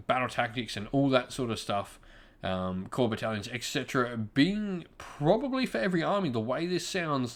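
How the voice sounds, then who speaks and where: A man's voice: medium pitch, fairly bright tone. A man hosting a gaming channel, talking informally and conversationally as he shares opinions and addresses his viewers directly. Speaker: YouTube gaming channel host. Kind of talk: casual opinion commentary to viewers.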